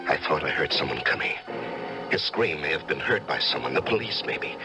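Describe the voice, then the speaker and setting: Radio drama: unhinged killer judge, bottomless gravelly voice, brooding, deliberate, theatrical. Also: male voice, very deep voice, animated, low-quality recording. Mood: anxious. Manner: deliberate, brooding, theatrical, halting when evasive. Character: unhinged murderous male judge, secretive, coolly self-justifying. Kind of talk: old-time radio drama acting with confessional narration. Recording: radio drama with music underneath.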